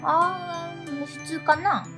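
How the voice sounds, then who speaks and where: Lady doing high girly teen student, quirky n earnest, eager storyteller, radio drama w/ faint music. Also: fairly even intonation, takes it slowly, bright, forceful tone, exaggerated voice. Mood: cheerful. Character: friendly, quirky, earnest teenage schoolgirl. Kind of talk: conversational radio drama acting.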